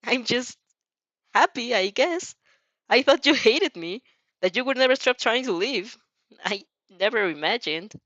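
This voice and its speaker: A gentle, apologetic heroine, voiced by a woman reading aloud, hesitant and unsure of herself. The pitch moves freely and expressively, recorded dry with a slightly dull lo-fi tone. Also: animated, measured pace, no echo. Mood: shaky relief. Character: gentle, apologetic heroine, shaky and self-doubting. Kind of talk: acted story dialogue.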